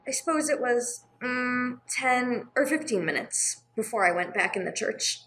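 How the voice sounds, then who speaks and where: A woman playing a gentle, earnest, sorrowful nun in a radio play, speaking with some hesitation. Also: on the high side, lively intonation, a little slow. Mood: earnest, helpful. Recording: clear background noise, room ambience.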